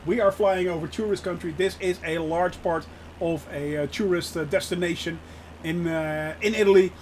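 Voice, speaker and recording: A man's voice: sits high, fairly even intonation, moderate pace, a little hiss or hum. A Dutch man, native Dutch speaker, giving chatty live-stream commentary while flying a sim and answering his chat with frequent laughs. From Netherlands.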